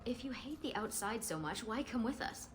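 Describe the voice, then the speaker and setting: A woman's voice: fairly high pitch, animated, moderate pace, reverberant, music in the background, natural voice. A woman playing a blunt, skeptical elven adventurer in a video game. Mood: challenging.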